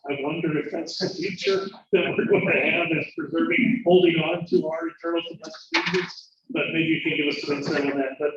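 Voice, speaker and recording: A man introducing a guest speaker in a conversational way, pausing often with "uh" fillers. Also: fairly high pitch, animated, background noise. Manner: conversational, hesitant with many "uh" fillers. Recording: echoey room, from far off, dull lo-fi sound.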